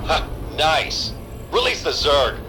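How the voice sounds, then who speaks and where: Enemy security guard for a game: excitable, barking, high-leaning, hugely varied pitch. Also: male voice, slow. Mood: gloating. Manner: barking, dramatic. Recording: game soundtrack music behind.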